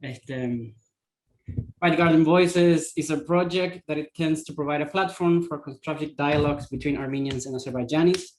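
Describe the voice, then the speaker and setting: A man from Argentina, co-founder and co-host, opening an online meeting over a video call, halting with fillers and restarts. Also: on the high side, darkish tone, a little hiss or hum, low-quality recording. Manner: hesitant, with many fillers and restarts.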